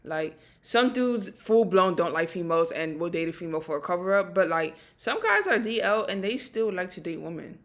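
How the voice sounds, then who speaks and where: A woman's voice: fairly low pitch, sing-song, moderate pace, few pauses. A woman co-hosting a casual reaction chat, laid-back and slangy, often checking in with "you know what I mean". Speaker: co-host.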